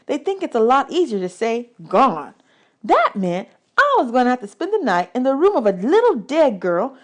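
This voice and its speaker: A woman's voice: on the high side, darkish tone, lightly acted. A woman voicing a polite, nervous, imaginative young orphan boy with a folksy, earnest manner in a children's audiobook.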